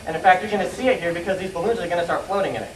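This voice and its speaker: A man's voice: sits high, racing. A man giving an informal hands-on science demonstration to a young audience, explaining and asking them questions. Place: indoor room with live audience. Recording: echoey room, distant noisy camera microphone.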